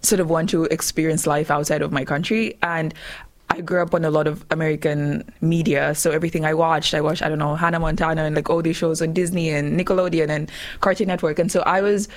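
A woman's voice: low-pitched, steady tone, fast. Young woman from zambia on a radio show, chatty, laughs a lot, quotes herself. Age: 20s. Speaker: radio guest. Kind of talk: casual interview chat.

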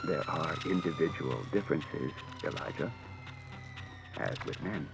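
Casting: male reader voicing a soft-spoken, precise robot, low and hushed, music underneath. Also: wildly swooping pitch, full character voice. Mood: calm formal. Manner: quiet, measured and formal. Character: calm humanoid male robot, polite and logical.

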